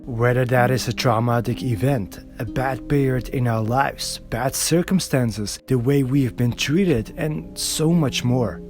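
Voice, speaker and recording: A man's voice: mid-range voice, measured pace, few pauses, darkish tone, faint music behind. A man reading a scripted voiceover for a video essay in an earnest, reflective way, recorded alone.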